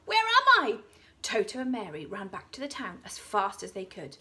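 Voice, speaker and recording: An English woman, an infant school teacher, reading a children's story aloud, dropping into hushed whispers for suspense. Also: medium pitch, expressive, brisk.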